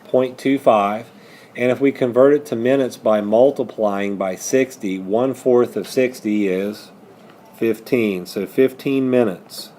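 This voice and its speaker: Male teacher, unhurried and mellow, walking students through problems with self-answered questions, some background noise. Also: medium pitch. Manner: asks questions and answers them himself. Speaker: high school math teacher. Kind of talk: step-by-step math lesson with questions and answers.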